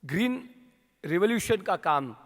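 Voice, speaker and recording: A man with an Indian accent giving a rousing motivational speech to his company's sales team, asking the crowd questions and building to shouts. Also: high-pitched, sing-song, measured pace. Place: large hall at a training event. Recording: long hall reverb.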